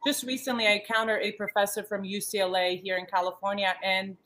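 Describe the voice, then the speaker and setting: A woman in her thirties talks earnestly over a laptop video call from her living room. She circles back often, restarting phrases and filling pauses as she speaks. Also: mid-range voice, level tone, a bit bright, slight background noise. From born in the States, raised in Yugoslavia. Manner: earnest, many restarts and fillers. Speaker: panel guest, former model. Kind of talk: panel discussion on a video call. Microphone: laptop webcam on a video call.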